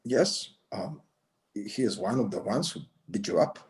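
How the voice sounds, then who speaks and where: Guy reading old rancher vigilante, solemn but pitch moves around a lot, mixes spanish in. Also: lively intonation, a little slow. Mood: courteous. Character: elderly, solemn, self-appointed vigilante Mexican rancher. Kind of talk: screenplay table read.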